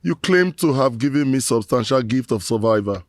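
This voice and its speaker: A man's voice: medium pitch, lively intonation, normal speed, no echo, clean studio sound, lightly acted. An actor playing a remorseful middle-aged man who pleads with his ancestors in a formal, proverb-rich lament.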